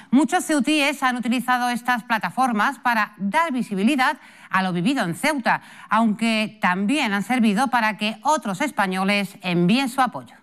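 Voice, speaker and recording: Woman anchor, formal studio news read, smooth and crisp, slightly high. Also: fairly bright tone. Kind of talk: scripted news presenting.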